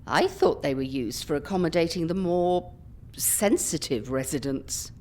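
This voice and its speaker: An actress playing a brisk, scolding old care-home ringleader in an audio drama. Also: female voice, low voice, lively intonation, a little mellow. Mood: urgent.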